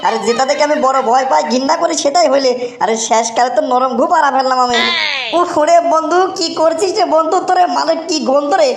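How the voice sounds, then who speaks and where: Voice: high-pitched, fast, vocal fry. A performer voicing a whiny, greedy young village man in a comic cartoon, exclaiming and repeating in a dialect. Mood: panicked, disgusted. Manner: exclamatory, whining, repetitive. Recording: roomy echo, faint background noise.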